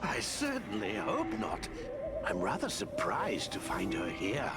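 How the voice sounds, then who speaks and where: A gentle, eccentric old wizard in a fantasy video game. His pitch swoops up and down widely as he muses in a slow, kindly, whimsical way. Loud music sits behind him. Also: wildly swooping pitch. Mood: musing, concerned.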